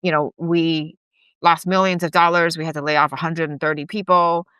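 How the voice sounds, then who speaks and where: Taiwan-born woman in her 40s, bright crisp voice, dry close mic, chatty and candid. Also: low-pitched, level tone, normal speed, no room sound. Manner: candid and upbeat, with frequent "like" and small laughs. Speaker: guest, gym CEO. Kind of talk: casual interview chat.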